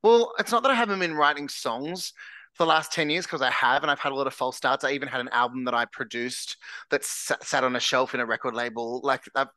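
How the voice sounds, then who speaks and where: An Australian man speaks as an interview guest in a frank, earnest, easygoing manner. His voice is bright and pushed forward. The sound is close and dry, with no room around it. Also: medium pitch, fairly even intonation, fast, no echo. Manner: earnest, candid, self-described larrikin. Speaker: singer-songwriter guest. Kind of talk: casual interview chat.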